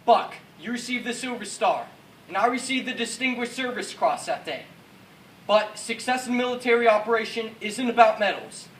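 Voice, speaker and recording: A man's voice: extremely high pitch, lively intonation, normal speed. A man playing an American Second World War paratrooper officer, recalling battle in an earnest, declamatory stage monologue. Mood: solemn, resolute. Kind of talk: rehearsed dramatic war monologue. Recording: distant echoey room, faint noise.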